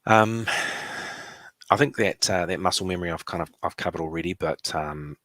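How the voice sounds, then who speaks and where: A man with a New Zealand accent giving a conference presentation, relaxed, with many fillers and restarts. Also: sits low, moderate pace, no echo.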